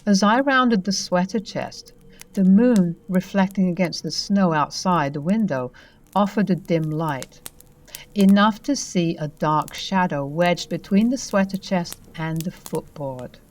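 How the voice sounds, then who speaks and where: Low-voiced woman narrating a ghost story. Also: a little slow, rough recording. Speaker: host and narrator. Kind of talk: reading a first-person ghost story aloud, then commenting.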